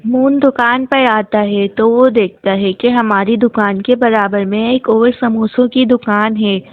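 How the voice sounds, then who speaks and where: A woman's voice: fairly high pitch, steady tone, a little slow, dry recording. A woman narrating a scripted moral story for children as a storyteller. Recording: thin, phone-like, dry audio.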